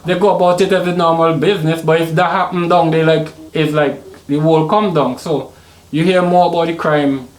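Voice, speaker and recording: A man's voice: fairly high pitch, steady tone, moderate pace, roomy sound. A man with a mixed Vincentian, Trinidadian and slightly American accent, talking casually as an interview guest. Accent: Caribbean, Vincentian-Trinidadian mixed with some American. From Saint Vincent and Trinidad. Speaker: podcast guest, Caribbean hip hop artist.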